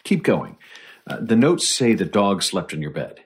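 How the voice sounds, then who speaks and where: An actor playing a patient doctor who gently coaxes and questions a witness in an audio drama. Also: male voice, low-pitched, sing-song, unhurried, slightly rough, heavily acted. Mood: probing, measured. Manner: coaxing, mild, inquisitive. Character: patient, probing male doctor interviewing a witness. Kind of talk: audio drama acting, investigative interview.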